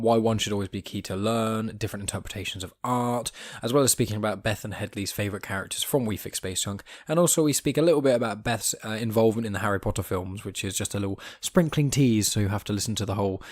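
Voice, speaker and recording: A man's voice: on the low side, no echo, clean studio sound. A man hosting a podcast in a casual, chatty style, with informal asides. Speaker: podcast host. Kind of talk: casual chat.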